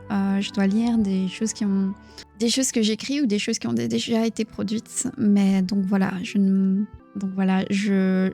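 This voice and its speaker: A woman with a Belgian accent reading a book aloud on a live stream in a hushed, near-whispering voice, stopping to chat with her viewers. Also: medium pitch. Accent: Belgian French. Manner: reads narration in a hushed, near-whispered voice, chats plainly with viewers.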